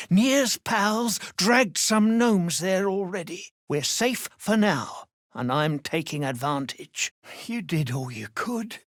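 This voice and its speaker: An actor voicing a weary, sincere deep gnome survivor in a video game, plain-spoken and gentle. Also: no room sound, studio quality. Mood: grateful. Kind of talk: video game character dialogue.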